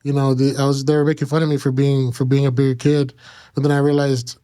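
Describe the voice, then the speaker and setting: Male interview guest, thirties, American accent. Smooth voice, little pitch movement, halting casual storytelling with many filler pauses. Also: level tone, dark tone. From Kansas and Mexico. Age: 30s. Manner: halting, frequent "you know" pauses.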